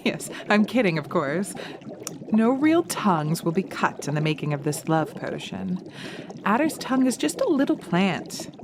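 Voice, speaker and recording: A woman voicing a sharp, practical witch in a roleplay audio drama, teasing and theatrical with a hint of menace. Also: medium pitch, sing-song, noisy background. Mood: mischievous, spooky. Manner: theatrical, teasing, drawn-out words with pet names.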